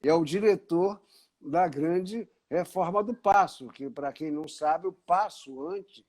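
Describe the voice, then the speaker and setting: A man's voice: fairly high pitch, takes it slowly, darkish tone. An older man from Paraíba, an art critic interviewing an artist, speaking expansively and correcting himself as he explains. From Paraíba, Brazil. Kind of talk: conversational interview.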